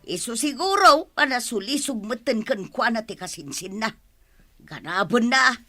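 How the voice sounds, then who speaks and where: A woman playing a defensive, blaming old grandmother in a radio drama, sharp and dismissive, with an Ilocano accent. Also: fairly high pitch, animated, normal speed, no room sound, soft background music. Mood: defensive, scornful. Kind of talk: Filipino radio drama acting.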